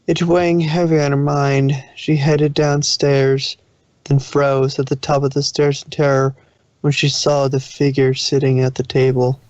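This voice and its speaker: A man reads fan fiction aloud in a medium-pitched voice, narrating the story and now and then tripping over the text. The recording is very dry and a little muffled. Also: flat delivery, unhurried, darkish tone, no room sound.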